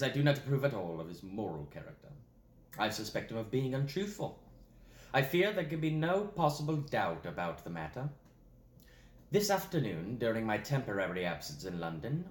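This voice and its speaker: Guy doing a stuffy offended victorian gent, theatrical and formal, stage comedy monologue, echoey room. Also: on the low side. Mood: aggrieved, formal. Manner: formal, theatrical, emphatic declamation. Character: indignant, pompous young Victorian English gentleman. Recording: roomy echo, faint background noise.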